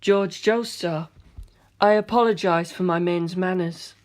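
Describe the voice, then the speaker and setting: A woman voicing a calm, composed young male gang boss who speaks softly and slowly. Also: on the high side, pitched above the performer's own voice, close to an everyday voice. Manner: soft, slow, measured.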